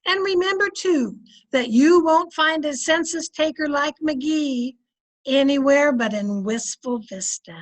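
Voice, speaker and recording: A woman acting a sensible middle-aged wife from an old-time radio comedy. Her voice is high and unhurried, with a measured, dryly teasing delivery. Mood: measured, wry.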